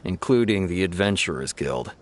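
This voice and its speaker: Low-voiced earnest game police officer. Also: male voice, animated, heavy background noise. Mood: matter-of-fact. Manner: formal, measured, explanatory. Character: earnest male police officer in a fantasy city, exasperated, formal. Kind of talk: video game dialogue. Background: background music.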